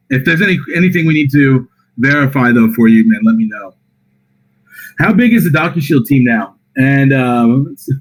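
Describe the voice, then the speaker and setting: Tired, slightly raspy man answering questions. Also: mid-range voice, poor audio. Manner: relaxed, reads out questions and answers them with many fillers. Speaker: project representative answering community questions. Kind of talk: casual Q&A on a voice chat.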